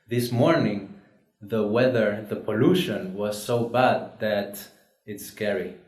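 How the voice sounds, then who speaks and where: A man from Spain takes part as a student in a scripted discussion. He speaks plainly and earnestly in a fairly low voice. His words echo around the room. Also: slow, a little mellow. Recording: echoey room.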